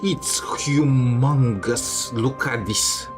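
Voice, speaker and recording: A man's voice: mid-range voice, very slow. A man giving casual, offhand gameplay commentary, half talking to himself. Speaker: game streamer. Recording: game music behind voice. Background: game music.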